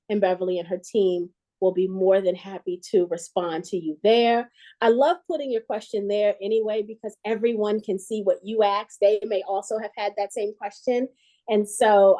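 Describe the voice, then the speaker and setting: A woman in her forties hosting a livestreamed pitch on a video call, talking chattily and directly to viewers. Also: mellow. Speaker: host. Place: small indoor room.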